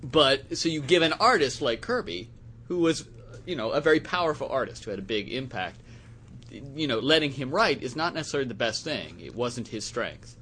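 Very bright, animated man on a podcast. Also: medium pitch, normal speed, a little hiss or hum. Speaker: podcast panelist. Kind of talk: casual comics discussion.

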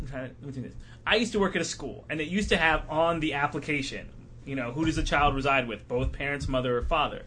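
A man hosting a casual podcast panel discussion, thinking aloud in a halting way. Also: mid-range voice, pushed and bright, a little hiss or hum. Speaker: podcast host.

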